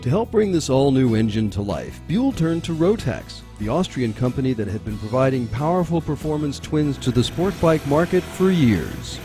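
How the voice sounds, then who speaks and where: A man reading scripted narration for a training film in a smooth, polished way. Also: medium pitch, sing-song, normal speed, few pauses, dark tone. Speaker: documentary narrator. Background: music bed.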